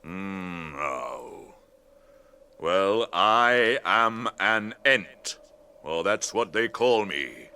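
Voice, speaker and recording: Ancient tree creature, super slow n ponderous, hums and muses, forceful bright edge, radio drama. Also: male voice, sits low, expressive, creaky, clean tone, heavily acted. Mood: musing. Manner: ponderous, deliberate, humming and musing.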